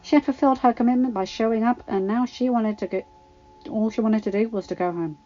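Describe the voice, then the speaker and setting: A woman's voice: on the high side, fast talker, dark tone, muffled recording. A woman reading fan fiction aloud as a scripted narration, now and then stumbling over a word. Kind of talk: scripted story narration with character voices.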